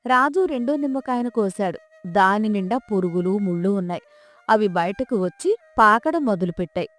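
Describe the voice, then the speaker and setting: A woman's voice: mid-range voice, lively intonation, moderate pace, fairly bright tone, faint music behind. A woman narrating a scripted folk tale as its storyteller.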